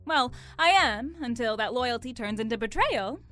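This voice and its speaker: Casting: actress as wary, wry female schemer for audio drama, higher bright voice, dramatic and distrustful. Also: expressive, moderate pace, faint music behind. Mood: guarded, bitter.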